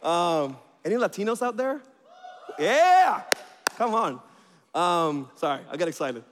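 Man from Venezuela, Spanish first language, chatty joking preacher, slightly high voice, long hall reverb. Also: unhurried, background noise. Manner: chatty and joking, bursts of excitement. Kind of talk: informal sermon introduction.

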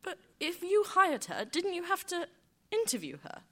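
A woman's voice: very high-pitched, wildly swooping pitch, normal speed, bright, forceful tone, heavily acted. A woman acting a naive, curious talking pet dog in a live audio theater comedy, full of hesitant, astonished questions. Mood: puzzled, curious. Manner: wide-eyed, questioning, hesitant.

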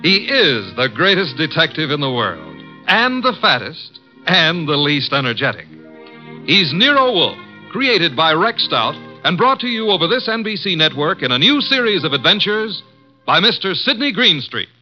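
A man's voice: fairly high pitch, big pitch swings, normal speed. A man announcing a 1950s radio drama in a grand, declaiming manner. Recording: dull lo-fi 1950s broadcast with music.

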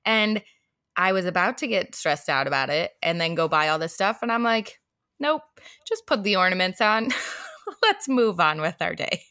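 A woman in her thirties co-hosting a podcast in casual chat, a little hoarse, laughing often as she talks. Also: lowish voice, normal speed, dry recording, studio quality. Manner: laughs often, animated and chatty. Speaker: podcast co-host.

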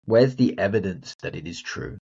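A man's voice: low voice, expressive, normal speed, gravelly, mellow, slightly rough, muffled recording. A man hosting a motivational solo podcast, talking bluntly and directly to the listener. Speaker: podcast host and confidence coach.

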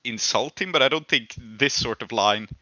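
Bright, dry-sounding man running a game. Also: mid-range voice, normal speed, dry recording. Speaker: game master. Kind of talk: improvised tabletop game narration and rulings.

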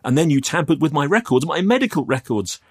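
Guy doing a terrified lad in an audiobook, voice pushed up high, stammery, breathy n desperate. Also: very animated, darkish tone. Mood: shaky accusation. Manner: stammering, halting. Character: frightened, stammering, desperate youth confronting his tormentor.

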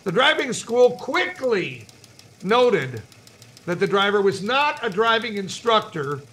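Bright, high-pitched male podcast host. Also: big pitch swings, slow. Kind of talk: hosting a humorous news and interview show.

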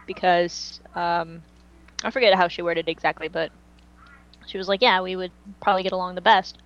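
A woman's voice: lowish voice, level tone, normal speed, highs cut off. A woman hosting a podcast, chatting casually in an informal, slangy way. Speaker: podcast host.

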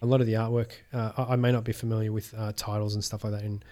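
A man's voice: fairly low pitch, level tone, rapid-fire, warm tone. A man hosting a podcast in casual interview chat. He hesitates often, restarting phrases when he talks at length. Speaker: podcast host.

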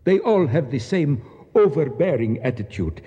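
An actor playing a proud, bitter Egyptian nationalist official in a radio drama, speaking formally and precisely with declamatory flourishes. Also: male voice, medium pitch, very animated, moderate pace, mellow, breathy edge. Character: proud, embittered, fanatical Egyptian nationalist official, courteous yet grim. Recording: dull sound with long echoing reverb.

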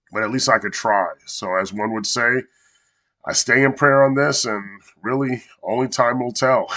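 Congested man hosting a podcast. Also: fairly low pitch. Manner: warm, measured and earnest, with small restarts. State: congested from a cold. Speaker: podcast host and coach. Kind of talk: casual podcast monologue.

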